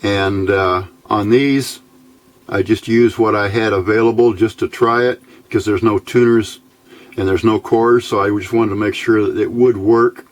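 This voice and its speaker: A man giving a casual hands-on electronics tutorial, halting and thinking aloud as he goes. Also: on the low side, fairly even intonation. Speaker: electronics hobbyist video host.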